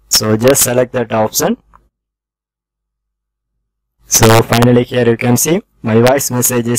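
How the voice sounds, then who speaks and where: A man's voice: mid-range voice, darkish tone, low-quality recording. A man hosting a tech tutorial, narrating scripted step-by-step instructions in a formulaic, instructional way.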